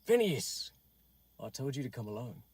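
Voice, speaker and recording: An actor playing a cold, menacing arms smuggler in an audio drama, curt and sly. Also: male voice, medium pitch, very animated, normal speed, a little mellow, slightly rough.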